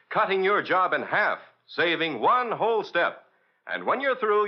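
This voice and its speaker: High-pitched, animated American radio announcer, male. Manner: polished, emphatic salesmanship. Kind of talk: 1940s radio announcing, scripted sponsor pitch. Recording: muffled old radio broadcast recording.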